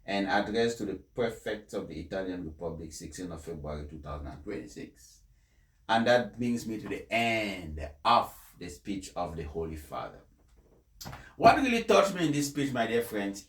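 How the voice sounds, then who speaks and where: A man speaking in an emphatic, deliberate way, like someone delivering a speech and commenting on it. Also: lowish voice. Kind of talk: formal read-aloud speech, then impassioned personal commentary. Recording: echoey, reverberant room sound.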